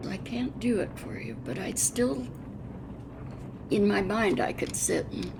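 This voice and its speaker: An American woman in her eighties or older recalls her past off the cuff, in a slow, halting delivery. Her voice is gravelly and plain-spoken, and she pauses reflectively mid-sentence. The recording has clear background noise. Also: mid-range voice, big pitch swings. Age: over 80. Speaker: interviewee, retired railroad telegrapher. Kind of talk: unscripted oral-history reminiscence.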